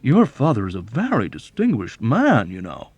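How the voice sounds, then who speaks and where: A man voicing a smug, chubby male army officer who laughs with pompous, booming heartiness. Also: wildly swooping pitch, normal speed, darkish tone, slightly rough, no room sound, dull audio. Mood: smug jovial.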